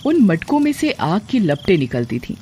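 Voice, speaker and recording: A woman's voice: fairly low pitch, sing-song, moderate pace, warm tone, a little hiss or hum. A woman narrating a scripted moral tale as storyteller.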